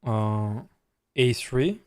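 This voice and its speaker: Serbian-accented chess streamer, dry close sound. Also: male voice, medium pitch, animated, very slow, darkish tone, clean tone, no echo. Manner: chatty, reads chat aloud and answers while playing. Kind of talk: casual live-stream commentary.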